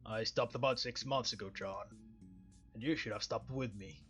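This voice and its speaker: A man's voice: a bit bright, soft background music, close to an everyday voice. Man putting on a throaty, stern police chief. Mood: grave, measured. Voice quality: throaty, strained low voice. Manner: measured, formal. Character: stern, weary male police chief, old friend. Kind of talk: visual novel dialogue read aloud.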